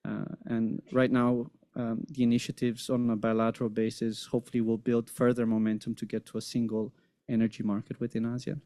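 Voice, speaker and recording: Panelist man, mellow and even, close dry sound, measured delivery with ums. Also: medium pitch, dry recording. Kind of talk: panel discussion.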